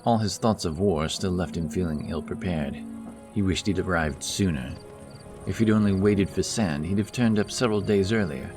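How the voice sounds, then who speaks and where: A man narrating a fantasy audiobook in a steady, measured way. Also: low voice, over music.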